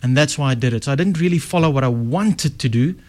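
A man's voice: mid-range voice, quick pace, a little mellow. A middle-aged man with an Afrikaans South African accent, a guest telling his story in a casual, reflective way. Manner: chatty, reflective, with self-corrections. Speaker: guest, success strategist. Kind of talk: casual interview talk.

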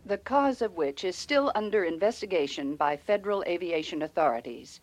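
Composed, lowish-voiced TV newsreader. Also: female voice, animated. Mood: sober. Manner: measured, formal. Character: composed female television newsreader. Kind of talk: formal news reading in radio drama.

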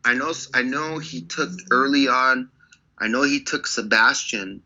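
A man, a guest on an interview, telling studio stories in a casual, animated way with short insistent bursts. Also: medium pitch, measured pace, highs cut off. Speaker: record producer guest.

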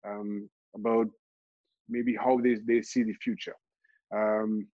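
A middle-aged man from Trinidad and Tobago, a football pundit, answering interview questions in a halting way, restarting and repeating words often. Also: lowish voice, level tone, no echo, muffled recording. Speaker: football pundit and show host.

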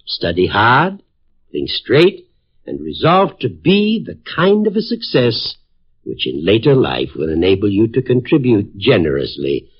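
Pompous old dean, swoopy husky voice, talks right over people, dull old radio recording. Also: male voice, on the low side, huge pitch swings, measured pace, exaggerated voice. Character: pompous, absent-minded old college dean. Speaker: college dean. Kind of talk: 1950s radio drama acting. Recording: dull lo-fi 1950s radio broadcast.

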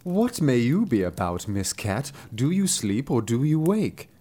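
A man's voice: mid-range voice, very animated, unhurried, warm tone. A man voicing a polite young male fox suitor in a storybook, mild and courteous.

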